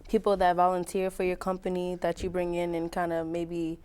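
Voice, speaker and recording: A woman's voice: fairly low pitch, completely flat delivery, fairly quick, darkish tone. A woman hosting a show and interviewing guests, encouraging them with short acknowledgments. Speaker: show host.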